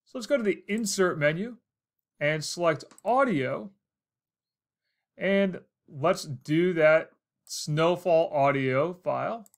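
A man's voice: a little high, slow pace. An American man in his forties narrating a casual instructional screencast, explaining things step by step. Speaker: tutorial host.

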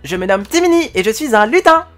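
A woman voicing a tiny, cheerful male forest elf with a high, squeaky voice, reading a storybook. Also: quick pace, faint music behind. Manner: chatty, polite, excitable.

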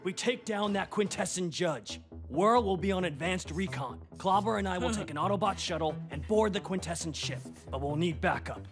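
An actor playing a brash robot schemer in an animated series, a man laying out plans. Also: high-pitched, moderate pace, soft background music. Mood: scheming.

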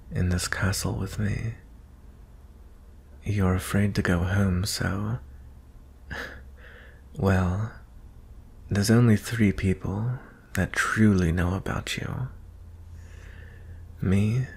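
A man playing a gentle, merciful young prince in an intimate ASMR fantasy roleplay, soft-spoken and hesitant, often slipping into whispers. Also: low voice, vocal fry, husky, exaggerated voice. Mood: gently persuasive. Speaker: audio roleplay performer.

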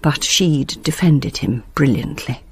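An actress playing a bitter, grieving grandmother, delivering a deliberate confessional letter as a radio drama monologue. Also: sits low, animated, a little mellow, breathy edge, full character voice. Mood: cold resolve.

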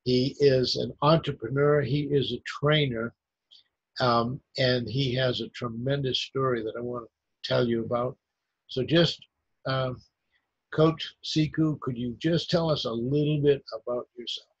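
A man's voice: medium pitch, fairly even intonation, measured pace, breathy edge, no echo. A man hosting a video call interview, polite and hesitant, with many fillers. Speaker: host. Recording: dry, muffled call audio.

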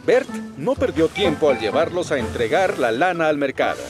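A man's voice: fairly high pitch, very animated, moderate pace. A man with a Latin American accent giving scripted children's storybook narration. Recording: music bed behind narration.

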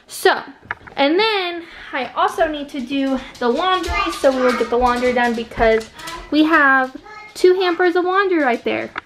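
A woman's voice: sits high, expressive, a little slow, a bit bright, a little hiss or hum. A woman in her twenties casually chatting as she films her house, rambling and talking to viewers. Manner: chatty, rambling, filming handheld while walking through the house. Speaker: vlogger. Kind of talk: casual home vlog. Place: home rooms, indoors. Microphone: phone or camera held at arm's length.

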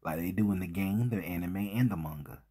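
Male host, very raspy low voice, informal slide commentary, emphatic and halting. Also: dark tone. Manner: emphatic, rhetorical questions, halting repetitions.

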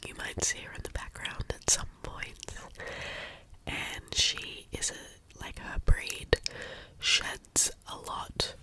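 Young woman with a cold doing ASMR: slow, soft close-mic whispering, stuffy and slightly croaky. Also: low-quality recording. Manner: soft whispering, slow and unhurried with frequent ums. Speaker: ASMR creator. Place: small bedroom indoors. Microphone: close studio mic with foam cover.

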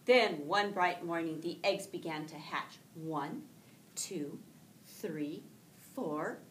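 A middle-aged woman, a teacher reading a picture book aloud to children in an expressive, deliberate storytelling way, with drawn-out words. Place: school classroom, indoors. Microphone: camera a few feet away. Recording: echoey room, distant mic, faint rumbling noise.

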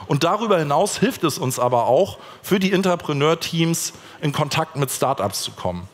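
A man in his thirties gives a keynote talk while moving around a conference stage, and he speaks casually with many fillers and restarts. His voice sits a bit high for a man. It is picked up by a body mic and carries a long reverb from the large hall. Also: expressive, moderate pace. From Hamburg, Germany. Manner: conversational, frequent fillers and restarts; gestures while walking the stage.

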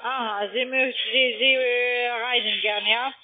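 A woman who is a non-native German speaker, giving a formal, polite telephone sales pitch. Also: fairly even intonation, unhurried, soft background music. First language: not German. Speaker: telephone investment sales agent. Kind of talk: scripted phone sales pitch. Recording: muffled narrow phone line.

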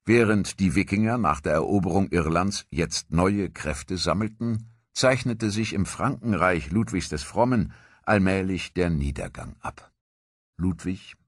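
Deep-voiced husky male narrator. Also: no room sound, studio quality. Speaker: documentary narrator. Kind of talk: scripted historical narration.

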